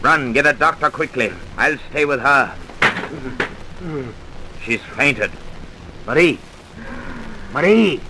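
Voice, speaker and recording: An actor playing a bitter, vengeful crippled chemist in an old radio drama, theatrical and taunting. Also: male voice, mid-range voice, lively intonation, slow pace, pauses often. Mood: urgent. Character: bitter, vengeful crippled male research chemist, gloating villain. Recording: noisy vintage radio recording.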